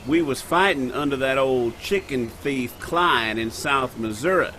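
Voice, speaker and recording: A man's voice: mid-range voice, measured pace, bright, forceful tone, slight background noise. An American man playing a cruel, jeering cowboy henchman in an old-time radio Western. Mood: gloating. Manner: jeering, drawling.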